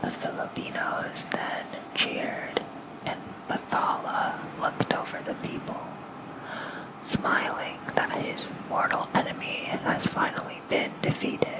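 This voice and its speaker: Soft, slow ASMR whisper. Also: low-quality recording. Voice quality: soft, breathy unvoiced whisper. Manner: slow, gentle, soothing delivery. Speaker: ASMR host reading lore. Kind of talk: whispered ASMR bedtime storytelling. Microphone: close fluffy-covered microphone.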